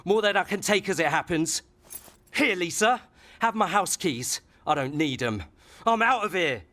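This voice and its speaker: A man's voice: high voice, animated, normal speed, pushed and bright. An actor playing a bitter, sarcastic young man, a grieving and confrontational son, in a radio soap. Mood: bitter, angry.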